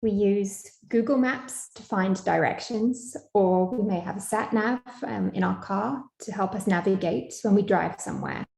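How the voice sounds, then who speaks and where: A young Scottish woman giving an online academic lecture over a video call, measured, with frequent ums. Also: mid-range voice, creaky, a little mellow, echoey room, poor audio. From Scotland.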